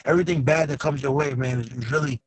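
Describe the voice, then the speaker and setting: A man's voice: mid-range voice, fast, slightly rough, no room sound. Male rapper as podcast guest, mid-range voice, dry close sound, earnest and casual. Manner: earnest, repeats tags like "man" and "you know". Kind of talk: casual interview chat.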